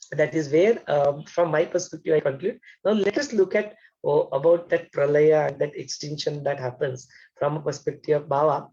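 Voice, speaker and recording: Gravelly man on dull lo-fi audio. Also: a little high, darkish tone. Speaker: teacher leading an online study session. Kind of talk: spiritual lesson.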